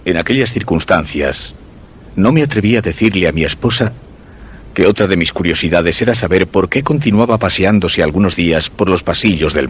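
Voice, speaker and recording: An actor with a Castilian accent playing a suspicious husband, narrating a dark suspense radio drama in measured, literary phrasing. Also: male voice, low voice, slight background noise. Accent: Castilian Spanish.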